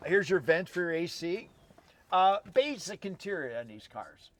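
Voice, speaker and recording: A man's voice: high voice, big pitch swings, moderate pace, fairly bright tone. A man co-hosting as a car expert, giving casual hands-on commentary in short, repeated admiring phrases. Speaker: car show co-host, car expert.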